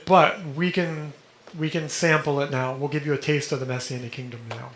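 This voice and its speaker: A man teaching a study group, explaining texts and often checking in with listeners, a little hoarse and clearing his throat. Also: on the high side, fairly even intonation, normal speed, fairly bright tone. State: slightly hoarse, coughing. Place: indoor room with a vent. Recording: echoey room with background noise.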